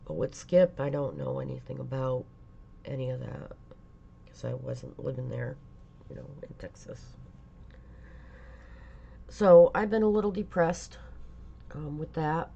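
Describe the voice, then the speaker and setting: A middle-aged woman talking casually in a personal vlog, halting and rambling, stuffy from a lingering cold. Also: a bass voice, expressive, creaky, mellow, lo-fi sound, slight background noise. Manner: halting, rambling, many pauses and fillers. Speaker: vlogger.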